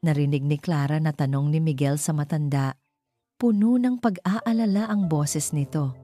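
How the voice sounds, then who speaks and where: Low-pitched woman narrator, Filipino accent. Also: normal speed, warm tone, soft background music. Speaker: story narrator. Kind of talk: scripted storytelling narration of a romance.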